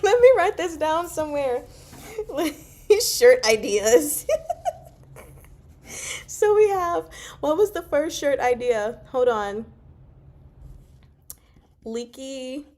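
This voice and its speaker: A woman hosting a casual book discussion, chatty and expressive, often pausing mid-thought. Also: high voice. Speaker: book discussion vlog host. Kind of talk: casual live book chat.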